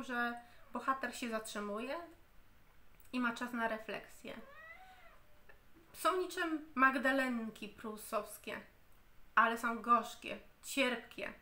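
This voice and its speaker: Woman in her 30s, book reviewer, slow deliberate delivery, bright tone, home camera recording. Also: high voice. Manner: slow and deliberate, with frequent hesitations and restarts. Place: home room, indoors.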